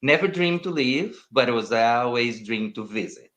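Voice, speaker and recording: A man's voice: medium pitch, expressive, moderate pace, fairly bright tone. An older man from Brazil with a Brazilian Portuguese accent, chatting as an interview guest, rambling and restarting his sentences. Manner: rambling, restarts sentences, non-native phrasing. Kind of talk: casual conversation.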